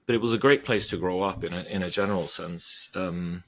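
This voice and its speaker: A man's voice: low-pitched, a little fast. A man in his forties from Sweden, a guest investor answering questions thoughtfully, with frequent hesitations and restarts. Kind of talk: interview.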